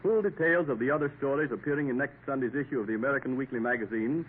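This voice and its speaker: Formal 1950s radio announcer, tinny. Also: male voice, mid-range voice, racing, slight background noise. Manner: formal, polished delivery. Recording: tinny old radio broadcast.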